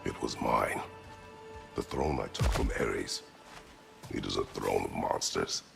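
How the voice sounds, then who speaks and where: An actor playing a stern, brooding, battle-worn god of war in a video game, with a gravelly growl and terse, grave delivery. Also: male voice, a bass voice, slow, music in the background, full character voice. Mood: guarded, stern. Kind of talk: dramatic video game acting.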